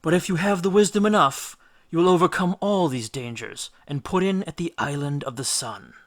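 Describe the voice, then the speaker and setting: A man voicing an elderly ghostly oracle, stern and all-knowing, making measured, ominous pronouncements in a storybook. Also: a little high, voice pushed up, close to an everyday voice.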